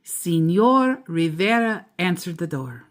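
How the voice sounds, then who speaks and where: Slow, expressive woman reading a children's story. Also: fairly low pitch. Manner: deliberate, expressive emphasis on key words. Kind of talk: children's picture book read-aloud.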